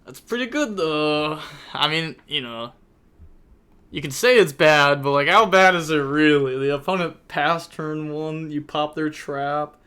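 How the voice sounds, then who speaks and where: A young man streaming live, talking through a card game match in a loose, rambling way full of fillers. His voice is bright and forceful, with a smooth, crisp tone. It sits a little high for a man. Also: unhurried. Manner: chatty, rambling, thinks aloud with many fillers. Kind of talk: casual live-stream commentary.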